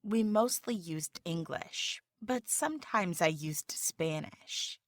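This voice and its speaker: Slow, careful woman, dry studio sound. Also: lowish voice, animated, no room sound. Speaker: job candidate in a practice interview. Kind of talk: scripted dialogue for English learners.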